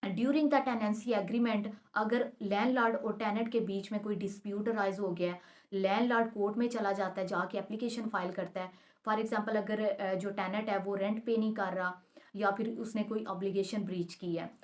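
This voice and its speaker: A woman explaining law as a teacher, mixing in English terms and reading quoted passages slowly and deliberately. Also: medium pitch, steady tone. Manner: switches between Urdu-Hindi and English terms, slow deliberate reading of quotations.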